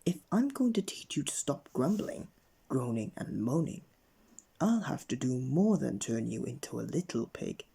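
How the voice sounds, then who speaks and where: A man's voice: medium pitch, sing-song, creaky, dark tone, breathy edge, heavily acted. Man putting on a stern, swooping storybook wizard. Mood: threatening. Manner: emphatic, drawn-out threats. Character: stern magical wizard scolding bad manners.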